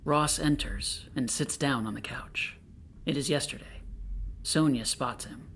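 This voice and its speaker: A man's voice: mid-range voice, measured pace, fairly bright tone, a little hiss or hum. A man giving scripted narration, reading out stage directions.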